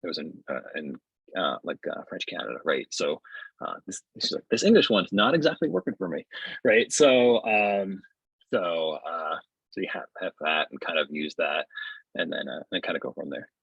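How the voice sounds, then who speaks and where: A middle-aged man giving a casual webinar demo in a halting, conversational way, on dull video-call audio. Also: low-pitched, expressive, gravelly, fairly bright tone, slightly rough, no room sound. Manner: halting, conversational, often checks with "right?". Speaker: software developer presenting his tool. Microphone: earbuds on a video call.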